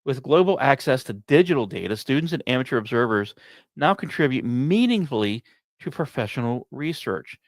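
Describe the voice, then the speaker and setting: A man's voice: medium pitch, expressive, no room sound. Man narrating a science podcast, dry close sound. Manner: dramatic storyteller phrasing with deliberate pauses. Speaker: podcast host. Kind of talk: scripted science narration with casual asides.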